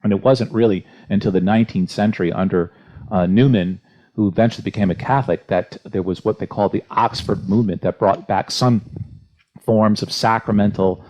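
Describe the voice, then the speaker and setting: A man hosting a religious question-and-answer talk as a priest, speaking off the cuff and haltingly, often searching for words. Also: sits low. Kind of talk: unscripted church history discussion and reading listener questions. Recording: dull, muffled lo-fi audio.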